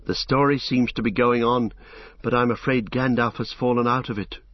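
Man acting small young storybook halfling, polite, earnest, tentative, slightly husky, animated pitch, dull lo-fi recording. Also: moderate pace, dry recording. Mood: sad.

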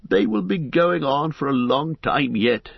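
A man voicing a chatty, wry old male hobbit poet, talking briskly and with light indignation. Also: mid-range voice, animated, creaky, husky, dry recording, dull audio, exaggerated voice.

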